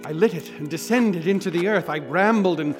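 An actor voices a haunted male investigator with a notably high voice in a radio drama. He pushes his account forward with earnest urgency, and clear music plays under his words. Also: brisk, close to an everyday voice. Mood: urgent. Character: shaken young male investigator, obsessive and haunted. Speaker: narrator and investigator recounting events. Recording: scored with clear background music.

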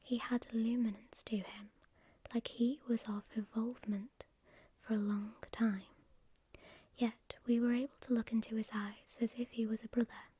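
A woman narrating a story in a breathy whisper, with slow, hushed, deliberate reading. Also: a little high, flat delivery, a little hiss or hum.

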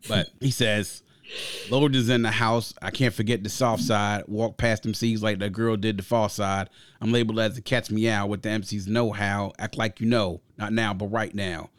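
A man's voice: fairly low pitch, fairly even intonation, normal speed, no room sound, studio quality. A man from Grenada hosting a casual music review, speaking emphatically and repeating phrases for stress. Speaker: podcast host and album reviewer.

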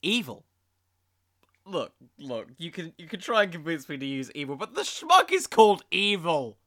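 A man's voice: a little high, sing-song, normal speed, fairly bright tone, dry recording, clean studio sound. A young man hosting an informal solo podcast, rambling along in a jokey, self-deprecating way. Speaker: podcast host.

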